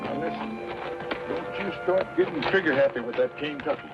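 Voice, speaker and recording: Man playing scolding, belligerent frontiersman, higher-pitched, radio drama, dull recording. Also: normal speed, close to an everyday voice. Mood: gruff, scolding. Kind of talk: old-time radio western acting. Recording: dull old radio recording, loud music.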